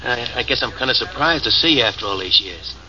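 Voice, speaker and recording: A man's voice: medium pitch, expressive, pushed and bright. A man acting a worn-out ex-convict just released from prison, speaking hesitantly in 1940s radio drama style. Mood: weary. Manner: weary, hesitant, halting. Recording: muffled old radio broadcast, hiss.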